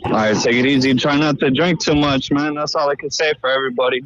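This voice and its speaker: A man on a phone call, recounting what he saw in a halting, repetitive, slangy way. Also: mid-range voice, steady tone, fairly bright tone, a little hiss or hum. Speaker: eyewitness phone caller. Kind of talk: casual recounting.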